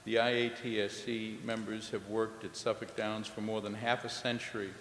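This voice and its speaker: A man who is a union president, giving brisk, businesslike prepared testimony at a public hearing. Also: fairly low pitch, level tone, fairly bright tone. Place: large public hearing hall. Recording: roomy echo, long hall reverb, faint hiss.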